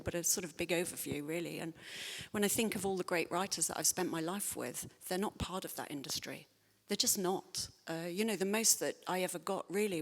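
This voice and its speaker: A woman's voice: lowish voice, big-room sound. A woman writer answering audience questions in a thoughtful, hesitant way, with many fillers and restarts. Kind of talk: spontaneous public Q&A talk.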